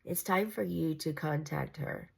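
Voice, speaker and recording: A woman's voice: deep voice, measured pace, vocal fry, darkish tone, breathy edge. A congested, nasal woman giving a casual, meandering tarot reading. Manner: meandering, reassuring, with fillers and restarts. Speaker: tarot reader.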